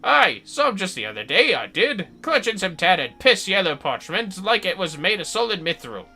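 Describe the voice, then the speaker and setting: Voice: medium pitch, big pitch swings, normal speed, bright, forceful tone, slightly rough, exaggerated voice. A streamer with an androgynous voice playing a scornful, talkative fantasy treasure digger, reading game dialogue with mocking emphasis and folksy archaic phrasing. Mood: scornful, amused.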